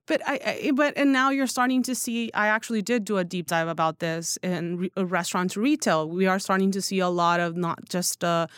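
Woman guest on a podcast, clean smooth voice, kinda low, rambly casual opinions. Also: a little fast, few pauses, a bit bright, no room sound, studio quality. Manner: informal, rambling, peppered with "like" and "you know". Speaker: podcast guest, newsletter writer.

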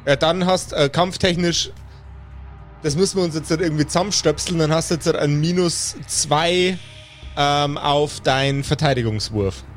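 A man with a fairly high voice leads a tabletop game. As game master he improvises narration and settles rules, with music playing underneath. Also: moderate pace.

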